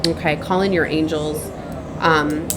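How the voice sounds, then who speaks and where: Low-voiced woman over noticeable background noise. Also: fairly even intonation. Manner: halting, repeats herself, circles back. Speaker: online tarot reader. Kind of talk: improvised spiritual message to viewers.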